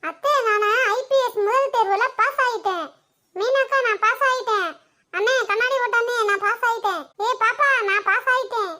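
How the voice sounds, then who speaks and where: Young cartoon daughter-in-law, extremely high voice, piercing, Tamil accent, excitable, whiny, boastful. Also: normal speed. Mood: gleeful boasting. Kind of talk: comic cartoon parody of a TV soap.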